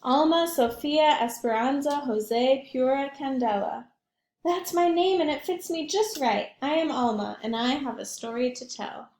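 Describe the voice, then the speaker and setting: A woman voicing an eager, affectionate young girl from a storybook, exclaiming with drawn-out emphasis. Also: high voice, a little mellow, reverberant, slight background noise, voice pushed up. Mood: proud.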